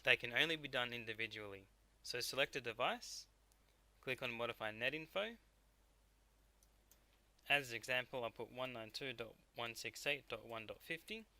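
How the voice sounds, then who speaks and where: A man narrating a software tutorial step by step as a technical instructor. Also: medium pitch.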